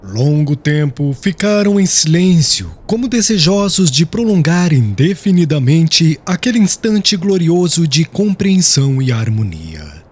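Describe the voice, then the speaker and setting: A man narrating an audiobook with a measured, expressive reading. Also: a little high, husky. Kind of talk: scripted storybook narration.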